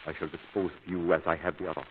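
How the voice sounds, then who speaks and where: A man acting a cold, domineering villainous hypnotist with a commanding, deliberate delivery in 1930s radio style. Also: sits low, little pitch movement, natural voice. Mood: menacing, hypnotic. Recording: muffled old 1930s radio recording.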